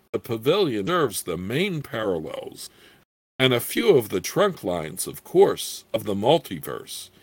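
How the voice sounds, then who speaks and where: Man acting a little old gnome, lively swooping pitch, squeaky, fussy salesman, eager, easily indignant, fantasy storybook, dry sound. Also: husky, dry recording, exaggerated voice. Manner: fussy, formal salesman's patter. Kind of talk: fantasy storybook character reading.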